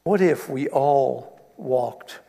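A man's voice: medium pitch, drawn-out words, vocal fry, mellow. A man preaching a sermon in an earnest way, building to emphatic repetition. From East Africa. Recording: long reverb of a hall.

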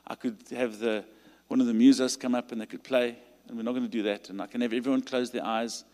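A man with a South African accent preaching a sermon and leading a call to stand, his delivery rising to emphatic peaks and dropping to quiet pleading prayer. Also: medium pitch, steady tone, fast. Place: large church hall. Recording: long hall reverb.

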